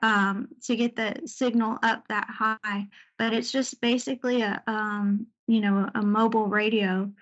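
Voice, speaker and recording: A woman giving an informal slide presentation about her service as a Navy air traffic controller, talking conversationally with searching pauses. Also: fairly high pitch, level tone, lo-fi sound. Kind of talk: informal slide presentation recounting deployment stories.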